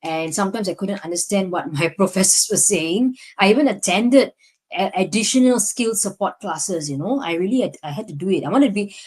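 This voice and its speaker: A woman's voice: mid-range voice, fairly quick, darkish tone, slightly rough. Young woman, Singaporean English accent, lecturer, reflective, casual chat. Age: young adult. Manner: reflective, frequent "you know" fillers. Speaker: lecturer, guest. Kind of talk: casual conversation.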